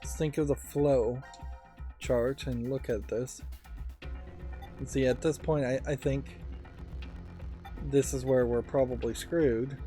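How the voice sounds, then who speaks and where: Let's play host: relaxed, warm timbre, musing casual delivery, game music in the background. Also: medium pitch. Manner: musing, thinking aloud.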